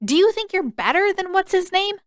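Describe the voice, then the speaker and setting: A woman voicing a sharp-tongued, defiant teenage girl in an audio story, challenging and indignant. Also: very high voice, lively intonation, normal speed, no room sound, studio quality, pitched above the performer's own voice.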